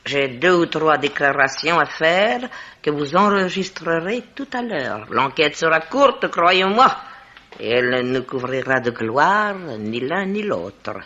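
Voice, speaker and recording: A man's voice: fairly high pitch, big pitch swings, unhurried, pushed and bright, heavily acted. A man playing a knowing, evasive witness with insinuating, theatrical delivery in a 1950s French radio drama. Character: knowing, evasive male witness with sly self-assurance. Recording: dull vintage radio recording.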